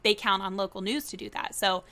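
A woman's voice: mid-range voice, fairly even intonation, fairly quick, pushed and bright. A young woman from Florida, a business journalist, chatting as an interview guest in an upbeat way and laughing often. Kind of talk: casual interview chat.

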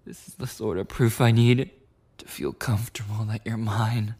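A man's voice: on the low side, flat delivery, normal speed, a little mellow, slightly rough. A man playing a clingy, possessive yandere boyfriend in an intimate ASMR roleplay monologue, rambling and cooing tenderly with lapses into whispers. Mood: tender, soothed.

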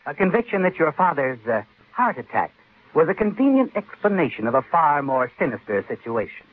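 A man's voice: a little high, very animated, fairly quick. A man delivering dramatic, ominous scripted narration in old-time radio style, speaking directly to the character. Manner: ominous, addressing the character as you. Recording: muffled vintage radio, music behind. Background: music.

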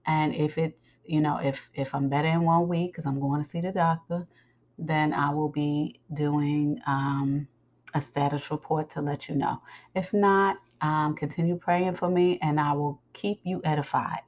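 A woman podcast host with a scratchy voice recovering from a sore throat, speaking in a halting, deliberate, instructive way. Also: deep voice, steady tone, darkish tone, muffled recording. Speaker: podcast host and religious teacher. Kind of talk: informal spoken podcast announcements.

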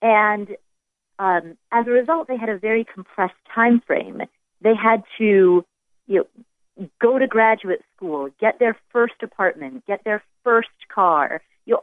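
Over a narrow, phone-like line comes an American woman with a mid-pitched voice. The sound is dry, with no room around it. She speaks conversationally as an expert guest, explaining with emphatic repetition. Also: no room sound. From United States. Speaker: personal finance expert, podcast guest. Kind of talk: conversational interview answers. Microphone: remote phone-like connection. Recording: dry, phone-like band-limited call.

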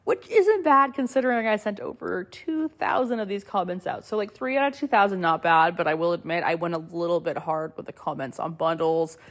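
A young woman hosting a casual podcast, a little hoarse from a cold, chatting loosely with lots of fillers and small laughs. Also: lowish voice, fairly quick, hardly any pauses. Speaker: podcast host. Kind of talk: casual solo podcast chat.

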